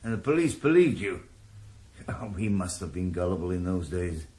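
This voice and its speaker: A wry, weary retired detective. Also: male voice, low-pitched, very animated, moderate pace, mellow. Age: older. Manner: dry, sardonic. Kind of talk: radio drama acting.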